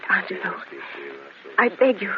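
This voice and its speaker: A woman's voice: medium pitch, a little slow, natural voice. An actress playing a devoted, anguished woman in an old-time radio melodrama. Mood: dying, loving. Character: devoted, anguished Italian woman in tragic romance. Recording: muffled, noisy old radio recording.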